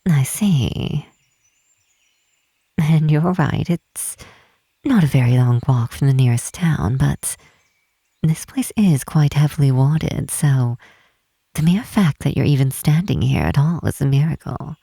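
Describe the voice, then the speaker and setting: Woman acting a low, breathy, teasing half-elf mage. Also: expressive, dry recording, full character voice. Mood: curious, welcoming. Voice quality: soft, breathy, husky. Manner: unhurried, teasing, formal old-fashioned phrasing. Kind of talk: ASMR fantasy roleplay.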